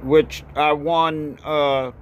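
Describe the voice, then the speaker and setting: An older man from North Carolina talks outdoors on a porch into a phone at arm's length, rambling and halting with many fillers and repeated thank-yous. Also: on the high side, flat delivery, a little hiss or hum. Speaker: ski racer and video maker. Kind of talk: casual home video. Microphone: phone camera at arm's length.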